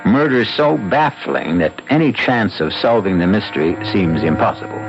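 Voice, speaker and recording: Deep-voiced male radio drama host. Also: lively intonation, vocal fry. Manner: measured, theatrical storyteller. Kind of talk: scripted 1970s radio drama narration. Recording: dull 1970s radio recording. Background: music.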